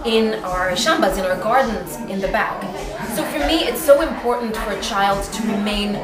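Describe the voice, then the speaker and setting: A woman's voice: mid-range voice, normal speed, crowd noise. A woman from Kenya whose first language is Kikamba, a charity founder speaking earnestly in an interview at a party. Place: party with music. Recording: echoey room, loud music behind.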